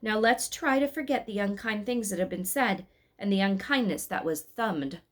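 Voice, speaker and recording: A woman voicing a patient male angel guide in a storybook, explaining things in a measured, instructive way. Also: medium pitch, close to an everyday voice. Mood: conciliatory.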